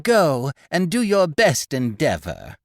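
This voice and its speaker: A man's voice: a little high, huge pitch swings, slow pace, no echo, clean studio sound, pitched above the performer's own voice, full character voice. A man voicing a young woman who declaims her farewell in a theatrical way. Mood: solemn. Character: young woman, solemn and dramatic.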